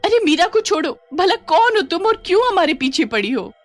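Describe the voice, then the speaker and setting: A woman's voice: very high-pitched, sing-song, moderate pace, soft background music, voice pushed up. A woman voicing a frightened, pleading young college student in a cartoon story, with urgent questioning. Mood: alarmed. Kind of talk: Hindi cartoon story acting.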